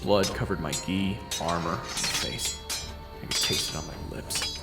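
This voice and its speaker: Deep-voiced man narrating an audio roleplay. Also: unhurried, gravelly. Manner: dramatic, storytelling. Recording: echoey room with loud music.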